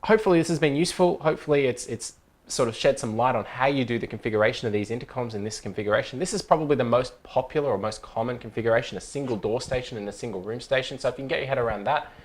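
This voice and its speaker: A man's voice: medium pitch, racing. A man in his thirties presenting a casual, step-by-step tutorial in an informal, explanatory way. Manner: informal, explanatory, checks along with okay.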